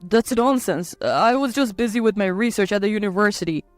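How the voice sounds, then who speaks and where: A woman's voice: medium pitch, animated, fairly quick, no echo, faint music behind, lightly acted. A woman voicing a defensive, flustered man with stammering, pleading delivery in a dramatized story. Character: defensive, flustered cheating husband, adult man. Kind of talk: scripted story dialogue.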